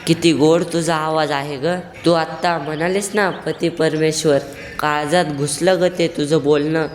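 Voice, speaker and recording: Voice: mid-range voice, fairly even intonation, measured pace, close to an everyday voice. A performer playing a flustered young newlywed husband. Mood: pleased. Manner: bashful, flustered, wry asides. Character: bashful, henpecked-seeming young newlywed husband. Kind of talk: comic stage skit acting. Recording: echoey room with long hall reverb.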